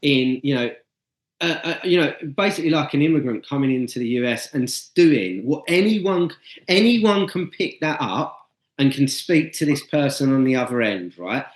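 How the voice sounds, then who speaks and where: A man's voice: mid-range voice, animated, moderate pace. Blunt, swearing man in an echoey room. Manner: blunt, profane, ranting. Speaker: podcast co-host. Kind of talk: casual interview chat.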